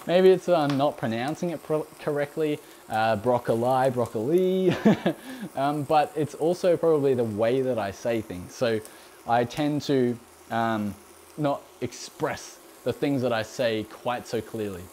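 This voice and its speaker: Relaxed Australian man, mellow voice. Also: mid-range voice, a little slow, a little hiss or hum. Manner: rambling and relaxed, frequent ums, laughs often. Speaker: cooking channel host. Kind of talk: live cooking stream, answering chat questions.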